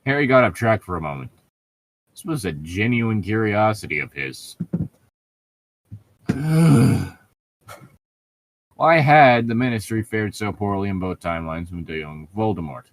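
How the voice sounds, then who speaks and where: A man's voice: lowish voice, animated, a little slow, pauses often. A man reading fan fiction aloud and breaking off into sarcastic, sweary commentary with an exasperated, mocking manner.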